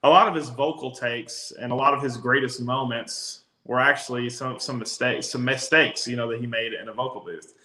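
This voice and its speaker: A man with a raspy voice, a country singer, talking casually as an interview guest with many fillers. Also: mid-range voice, fairly even intonation, normal speed, few pauses, sharp tone. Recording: echoey room.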